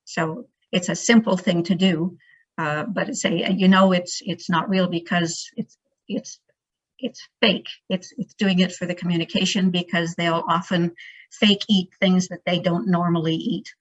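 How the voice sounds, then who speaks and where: A woman researcher answering academic questions informally, haltingly thinking aloud and repeating words as she goes. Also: on the low side, fairly even intonation, slightly rough. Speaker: primate researcher, guest speaker. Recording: dull lo-fi sound.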